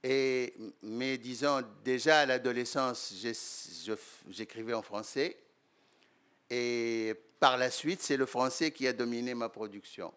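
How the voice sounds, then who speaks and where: Measured Tunisian man, smooth voice. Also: mid-range voice, a bit bright. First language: Arabic. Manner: measured, hesitant with many fillers. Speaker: guest professor and writer. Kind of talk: conference interview answers. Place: lecture room.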